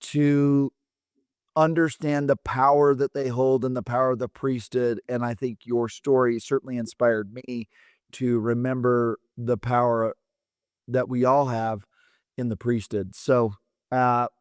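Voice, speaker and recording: A man's voice: medium pitch, a little slow, smooth, no room sound, clean studio sound. Man hosting a podcast, losing his voice. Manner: relaxed, rambling with fillers. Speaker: podcast host. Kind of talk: conversational interview.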